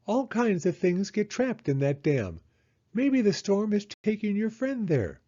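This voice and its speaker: A high-flying owl voice for a children's storybook. He is eager and sure of himself, hooting and exclaiming with big swings in pitch, on a slightly dull recording. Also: very animated, dark tone, full character voice. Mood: excited. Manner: hooting, exclamatory, drawn-out oohs. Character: eager, self-assured male owl, children's storybook helper.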